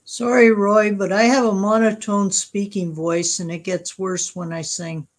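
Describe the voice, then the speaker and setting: Canadian female co-host, deadpan, self-mocking. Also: mid-range voice, measured pace. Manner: dry, self-deprecating humor. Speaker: co-host on a live stream.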